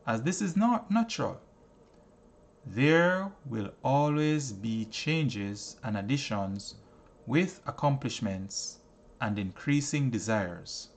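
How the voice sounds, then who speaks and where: A man narrating a self-help audiobook in a measured, earnest manner, with deliberate phrasing and frequent pauses. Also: medium pitch.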